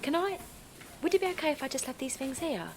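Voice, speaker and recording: An actress playing Linda, an exhausted young mother, who narrates and talks in a halting, apologetic way. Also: high voice, a little hiss or hum, close to an everyday voice. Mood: flustered. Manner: halting, apologetic, often softly spoken. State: tired, overwhelmed. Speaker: narrator and lead character. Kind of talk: audio drama acting.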